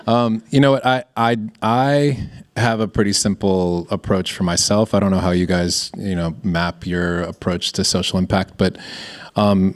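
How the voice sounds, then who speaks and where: A man giving a conversational, thoughtful panel answer as a business founder, halting and circling back on his phrases. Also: sits low. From born in Ethiopia.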